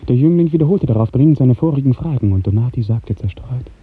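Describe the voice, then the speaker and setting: A man narrating a literary 1950s radio drama in a measured, poetic storytelling manner. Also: medium pitch, lively intonation, breathy edge. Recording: muffled narrow 1950s radio recording.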